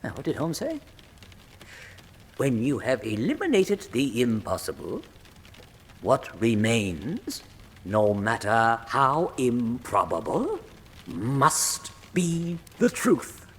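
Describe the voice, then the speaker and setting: An actor playing the narrator of a Sherlock Holmes style detective mystery, measured and theatrical in his storytelling. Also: mid-range voice, sing-song, fairly bright tone, slight background noise. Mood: puzzled, pondering. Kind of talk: dramatic scripted narration.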